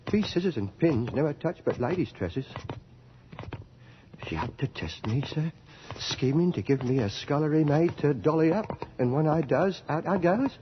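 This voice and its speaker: Nervy, proud servant-class hairdresser, played by a man, animated and defensive, muffled radio recording with clear noise. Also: fairly high pitch, normal speed, lightly acted. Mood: anxious, indignant. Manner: defensive, colloquial, ungrammatical. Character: servile, wary working-class hairdresser, proud of his trade. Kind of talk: radio drama acting.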